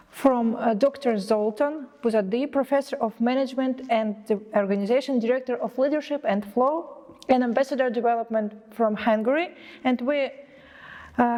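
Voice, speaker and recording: A woman's voice: fairly high pitch, darkish tone. A woman interviewing a guest in English, asking polite questions with some hesitations and fillers. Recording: reverberant room.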